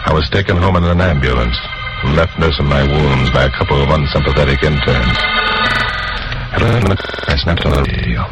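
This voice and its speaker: An actor playing a tough, defiant male broadcaster in an old-time radio drama, gruff and sardonic as he argues and narrates. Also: very deep voice, brisk, low-quality recording. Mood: grim, wry. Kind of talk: old-time radio drama acting, hard-boiled first-person narration. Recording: old radio recording with music behind.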